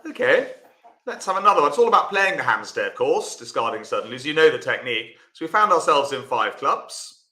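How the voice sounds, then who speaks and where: A man teaching a live online lesson in a chatty way, greeting viewers as he explains. His voice is bright and forward. The room adds a clear echo. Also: fairly high pitch, very animated, brisk. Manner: chatty, talks to viewers by name while explaining. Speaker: bridge teacher.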